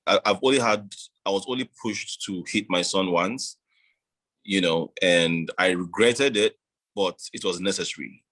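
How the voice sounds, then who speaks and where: A man being interviewed, talking in a measured, reflective way with many "you know"s. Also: sits low, fairly bright tone, poor audio. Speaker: guest. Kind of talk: casual interview.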